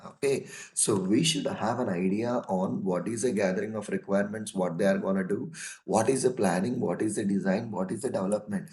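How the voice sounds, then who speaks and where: A hoarse man with a cold, teaching a casual online lesson on software testing, calling his listeners "guys" and repeating his points. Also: on the low side, echoey room. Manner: slow and repetitive, addresses listeners as "guys".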